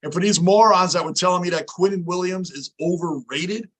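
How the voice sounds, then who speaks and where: A man in his fifties hosting a casual sports fan livestream, reading the chat aloud and talking loudly and emotionally with a tired, worn-out voice. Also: high voice, brisk. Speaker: livestream host.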